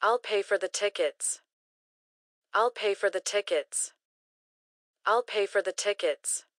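A woman reading short English phrases slowly and carefully, each one repeated for learners to practice. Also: medium pitch, a bit bright, no room sound, studio quality. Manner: careful, clearly enunciated. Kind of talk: slow repeated phrase drills.

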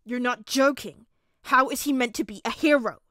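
A woman's voice: on the high side, lively intonation, normal speed, no room sound, studio quality. A woman voicing a proud, grumpy four-year-old boy in a story, blunt and pouting as the boy makes demands. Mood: outraged.

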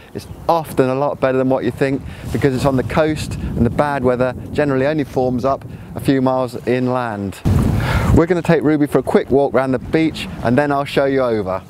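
A middle-aged man hosting a walking video tour of holiday flats, chatty and friendly as he shows rooms. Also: medium pitch, a little fast, background noise. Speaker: property video host. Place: seaside quay outdoors, then rooms of a flat.

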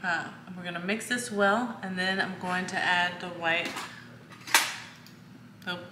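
A woman's voice: fairly low pitch, fairly even intonation. A woman in her thirties hosting a home cooking video, walking through a recipe step by step in a casual way with a few fillers. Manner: slow, step-by-step instructions with fillers. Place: home kitchen, indoors. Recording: echoey kitchen with faint noise.

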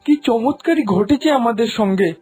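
A woman's voice: on the high side, animated, moderate pace, dark tone, soft background music, lightly acted. A woman voicing a poor, devout farmer husband in a cartoon folk tale, eager and full of wonder. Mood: excited. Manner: eager, marvelling. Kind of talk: Bengali animated folk-tale acting.

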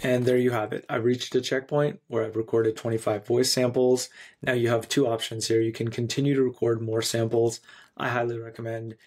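A man in his thirties narrating a screencast tutorial in an instructional, clearly phrased way. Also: medium pitch, flat delivery, brisk, a little mellow. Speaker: software tutorial presenter.

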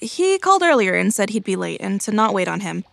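A woman's voice: medium pitch, sing-song, fairly quick, gravelly, fairly bright tone, dry recording. An actress playing a sociable, concerned young woman in an audio drama. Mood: casual, informative.

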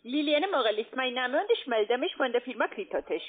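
A woman with a foreign accent giving a phone sales pitch as a call center agent, pushy and blunt. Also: animated, a little fast. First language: not German. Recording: tinny narrow phone line.

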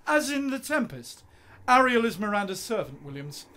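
An actor playing an idealistic, stubborn young husband and poet in a radio play, breezy and insistent. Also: male voice, high voice, very animated, normal speed, sharp tone, heavily acted. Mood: confident.

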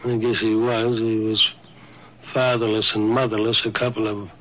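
A man's voice: lowish voice, level tone, moderate pace. An older man being interviewed, reminiscing about the past. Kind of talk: spoken reminiscence. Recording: dull 1950s radio recording.

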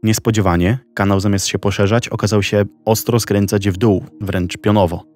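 A man narrating a documentary story in a slow, measured scripted style. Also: on the low side, fairly even intonation. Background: soft background music.

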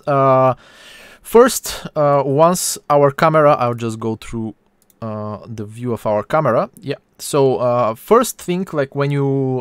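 A man with a Bulgarian accent hosts an informal live art lesson. His voice is mid-pitched and smooth, recorded very dry. He speaks haltingly, pausing often as he searches for words. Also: no room sound. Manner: halting, hesitant delivery with frequent pauses. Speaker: 3D artist hosting a stream.

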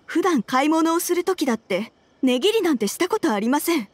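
Troubled, high-voiced polite wife. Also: female voice, big pitch swings, no echo. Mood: regretful. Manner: polite, measured, earnest. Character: troubled, earnest wife and mother, soft-spoken and polite. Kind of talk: video game voice acting.

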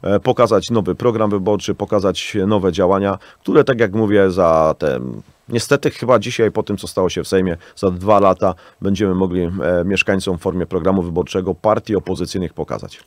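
A man's voice: deep voice, fairly quick, dry recording. Insistent man in a dry radio studio. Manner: insistent, hesitant fillers, addresses the host formally. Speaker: guest politician. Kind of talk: radio interview. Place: small radio studio with acoustic panels. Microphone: studio microphone on arm.

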